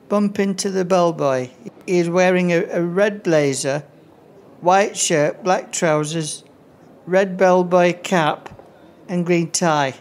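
A woman narrating a scripted radio play, reading in a halting way. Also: lowish voice, a little mellow. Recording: music behind the voice.

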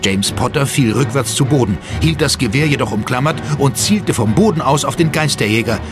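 A man's voice: mid-range voice, animated, fairly quick. A man giving dramatic scripted narration for a German horror audio drama. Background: music.

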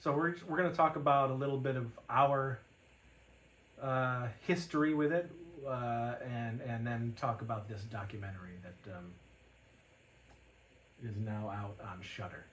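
A man in his forties hosting a casual chat, speaking loudly and projecting, with frequent chuckles. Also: medium pitch, steady tone, a little slow, roomy sound, low-quality recording. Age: 40s. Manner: loud, projecting voice, chuckles often. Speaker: podcast host. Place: living room full of shelves.

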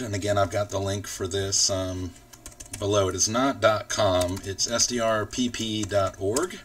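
A man with a fairly low voice talks through a software tutorial. He explains each step in an informal, casual way. He sounds set back from the microphone. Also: distant mic. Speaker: tutorial host. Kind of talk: casual screen-recorded software walkthrough.

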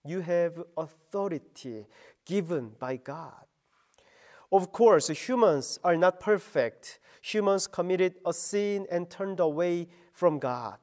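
A middle-aged man, a pastor giving an earnest, slow radio devotion sermon. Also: high voice, animated, a little mellow.

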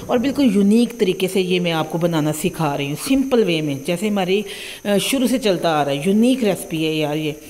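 A young woman with a Punjabi accent talks viewers through a home recipe, step by step. Her voice sits a little low. She is chatty and keeps checking in with her audience. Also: darkish tone. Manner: chatty, repeats "theek hai ji" often. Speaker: cooking channel host. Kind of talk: home cooking tutorial voice-over. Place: home kitchen.

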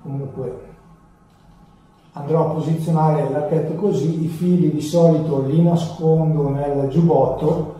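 Male presenter, 30s, mellow and halting, explaining things casually; very roomy, distant sound. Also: a little high, fairly even intonation. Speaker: motovlogger presenting a tutorial. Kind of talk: casual unscripted tutorial talk. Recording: echoey room, distant camera mic.

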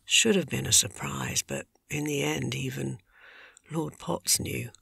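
Very raspy older housekeeper. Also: female voice, very deep voice, a little slow, bright, forceful tone, dry recording, clean studio sound, full character voice. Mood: flustered, grieving. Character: devoted older village housekeeper, flustered, loyal woman. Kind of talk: podcast drama acting.

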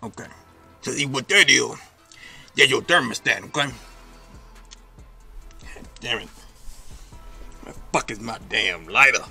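A slick-talking backyard mechanic with a Memphis drawl, as a man plays him, rambling and sly with every line. His voice has a bright, clear edge. Faint music plays under the outdoor driveway recording. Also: medium pitch, animated, a little slow, long pauses, heavily acted. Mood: salesy. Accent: Memphis Southern. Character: sleazy flirtatious middle-aged male backyard mechanic. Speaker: comedy skit actor. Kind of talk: improvised comedy skit.

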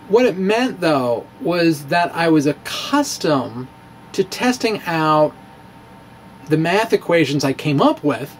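Middle aged guy doing a video blog, kinda high voice, slow and halting, wry, bit of room noise. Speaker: amateur physicist and video blogger. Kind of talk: dramatic reading of a personal blog, loosely conversational. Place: small indoor room with bookshelves. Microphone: handheld-style dynamic mic on a stand, close up.

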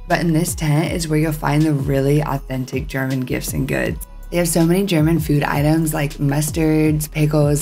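A woman's voice: low-pitched, darkish tone. A woman in her thirties reading scripted voice-over narration for a travel vlog. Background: faint background music.

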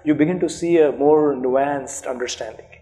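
A man's voice: on the high side, normal speed, warm tone. Indian man, thoughtful academic speech. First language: Urdu. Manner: thoughtful, many fillers and restarts. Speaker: author being interviewed. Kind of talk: academic conversation. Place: seminar room, indoors. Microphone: handheld microphone.

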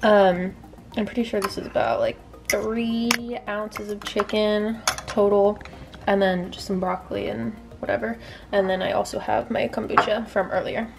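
A woman's voice: medium pitch, normal speed, vocal fry, over music. A young woman in a casual vlog, talking to a handheld camera at arm's length, chatty and rambling with lots of fillers. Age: young adult. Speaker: vlogger. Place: grocery store, car and bedroom.

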